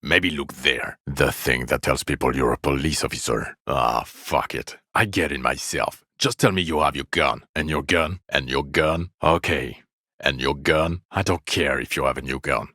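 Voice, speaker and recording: A bitter, world-weary policeman with an exceptionally deep voice. It crackles with gravel and sits close and dry. He scolds with heavy sarcasm and open contempt, like a partner who is utterly fed up. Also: normal speed, bright, forceful tone, dry recording, heavily acted. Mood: sarcastic, fed up. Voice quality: gravelly, low. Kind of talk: video game character voice acting.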